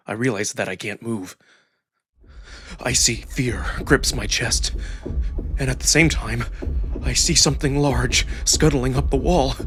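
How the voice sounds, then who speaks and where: A man performing dramatic first-person story narration with expressive, acted-out delivery. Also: mid-range voice. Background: music.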